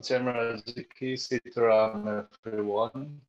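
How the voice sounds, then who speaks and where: A man with a Guyanese accent, a priest joining an online panel, speaking formally and deliberately. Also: medium pitch, steady tone, dull audio, soft background music. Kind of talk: formal self-introduction on a panel. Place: remote online call.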